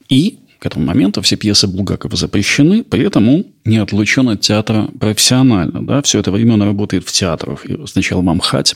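A man's voice: fairly low pitch, gravelly, breathy edge. A man hosting a history podcast, narrating in an explanatory way with rhetorical questions. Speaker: podcast host.

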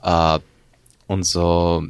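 Young man, low voice, Ukrainian accent. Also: flat delivery, clear, resonant tone, dry recording. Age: young adult. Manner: halting non-native phrasing with English words mixed in. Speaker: student. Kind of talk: casual chat.

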